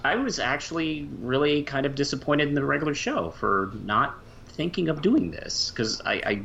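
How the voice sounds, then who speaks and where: A man co-hosting a podcast in a casual fan discussion, speaking haltingly and often repeating words as he goes. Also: animated, vocal fry, bright, forceful tone. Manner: halting, trailing off mid-phrase and repeating words. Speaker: podcast co-host. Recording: muffled low-fi mic with faint hiss.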